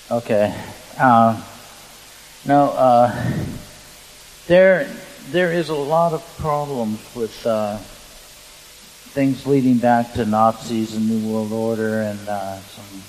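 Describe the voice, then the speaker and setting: Male panel speaker, flat pitch range, halting, rambling and jokey, off-the-cuff, hissy recording. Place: large conference hall, through PA. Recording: hissy conference hall, long reverb.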